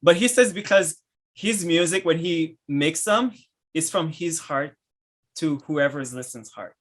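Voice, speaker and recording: A man with a Haitian accent hosting a casual podcast chat, enthusiastic and laughing often, with halting restarts. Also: fairly high pitch, lively intonation. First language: French and Haitian Creole. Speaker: podcast host.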